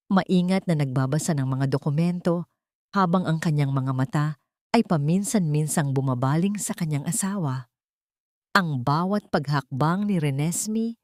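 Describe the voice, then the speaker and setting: A woman's voice: on the low side, a little mellow, dry recording, clean studio sound. A woman reading dramatic scripted narration of a love story.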